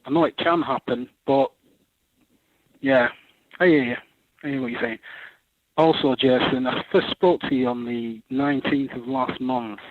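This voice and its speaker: A man from England with a Manchester accent, calling in to a radio show in a measured, earnest way. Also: medium pitch, fairly even intonation, no room sound. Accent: English, Manchester. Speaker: caller. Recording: tinny phone line.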